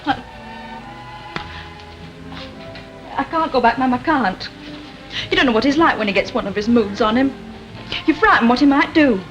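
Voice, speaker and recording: A cheeky young married daughter from a working-class Lancashire home. Her voice is on the high side, pert and defiant in a plain-spoken soap style. The 1960 television sound is dull and lo-fi. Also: expressive, a little fast, fairly bright tone, soft background music. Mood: defensive. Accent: Northern English, Lancashire. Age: young adult. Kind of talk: 1960s British television soap acting.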